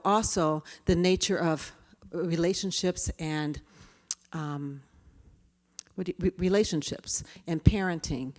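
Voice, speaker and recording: A woman in her fifties with a quiet voice, giving a conversational workshop talk full of personal stories. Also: low-pitched. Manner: storytelling, conversational. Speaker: diversity trainer.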